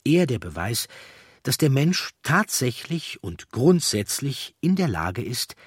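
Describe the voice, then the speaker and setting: A man narrating a history audiobook in a measured, factual reading style. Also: mid-range voice, sing-song, breathy edge, dry recording, clean studio sound. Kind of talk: scripted documentary narration.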